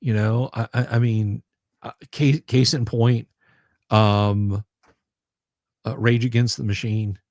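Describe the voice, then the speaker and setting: A man's voice: lowish voice, steady tone, slow, pauses often, darkish tone, dry recording, studio quality. A man hosting a casual livestream, rambling, repeating his words and making sarcastic asides while reading viewer comments. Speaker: livestream host.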